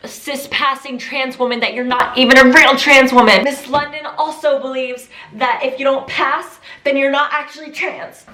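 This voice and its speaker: Shrill scolding internet critic, high and nasal-sharp, as a vlogger woman puts it on, exaggerated mockery. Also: fairly even intonation, normal speed, few pauses, roomy sound, a loud crowd, close to an everyday voice. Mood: mocking. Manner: mocking, exaggerated.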